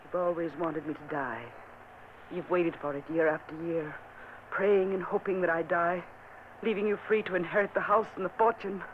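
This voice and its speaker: A woman's voice: fairly even intonation, moderate pace, close to an everyday voice. A woman playing a feverish, bitter dying noblewoman in a vintage radio play, intense and accusing. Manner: intense, accusing, rising to shrill cries. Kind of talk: 1940s radio acting. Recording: tinny old radio transcription with hiss.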